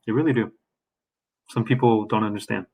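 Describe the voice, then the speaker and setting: A man's voice: lowish voice, fairly even intonation, a little fast, gravelly, darkish tone, husky. A man hosting a podcast, easygoing and curious, chatting casually with his guest. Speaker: podcast host. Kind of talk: casual interview chat.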